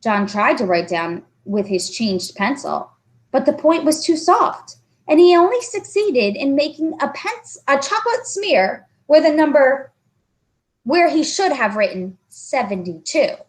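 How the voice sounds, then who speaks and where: A woman reads a children's story aloud in an expressive storytelling style. Her voice sits fairly high and moves animatedly up and down, with careful phrasing. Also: a little slow. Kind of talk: expressive children's book narration.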